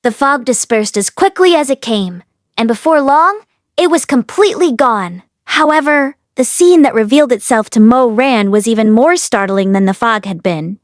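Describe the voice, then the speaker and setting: A woman with a fairly high voice narrates fiction from a script. Her reading is expressive storytelling, recorded very dry and close. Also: moderate pace, no echo. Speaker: audiobook reader.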